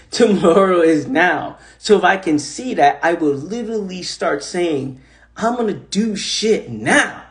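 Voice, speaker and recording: A man hosting a casual, animated motivational talk on a phone livestream. He repeats himself often and swears casually. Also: high-pitched, takes it slowly. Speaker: host of an Instagram live.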